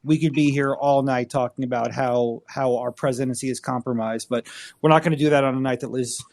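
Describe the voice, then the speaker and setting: A man's voice: mid-range voice, fairly even intonation, a little fast, darkish tone. A man hosting a live audio chat, rambling and joking as he gives listeners instructions. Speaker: host.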